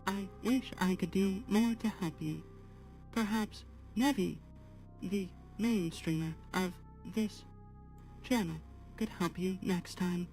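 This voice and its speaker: Woman as a polite, slow-spoken AI assistant. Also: medium pitch, a little mellow, faint music behind. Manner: measured, halting, formal, avoiding contractions. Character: polite artificial-intelligence female assistant, apologetic and gently earnest. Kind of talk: slow guided talk to a live chat.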